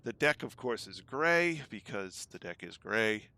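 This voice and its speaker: Relaxed man, bright crisp voice. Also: measured pace. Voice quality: relatively low voice. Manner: easygoing, chatty, many ums. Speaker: streamer. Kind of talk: casual gameplay livestream chat. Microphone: desk microphone at home.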